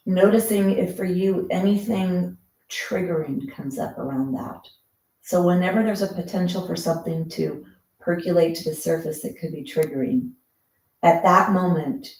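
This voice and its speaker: A breathwork teacher leading a guided breathing meditation with soft, slow, soothing instructions. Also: mid-range voice, gravelly, far from the mic. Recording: roomy echo.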